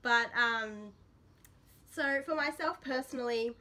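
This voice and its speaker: Woman, thirties, slightly croaky, clear bright tone, chatty rambling vlog talk, small bedroom, close camera mic. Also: on the high side, takes it slowly. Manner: chatty and rambling, frequent ums, self-deprecating. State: a little sick, slightly croaky voice. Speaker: substitute host of a witchcraft vlog. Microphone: built-in camera mic at close range.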